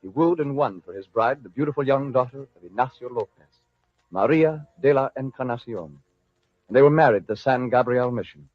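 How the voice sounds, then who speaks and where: A man's voice: mid-range voice, fairly quick, no room sound. Guy narrating old radio show, mid voice, dull dry recording, faint music.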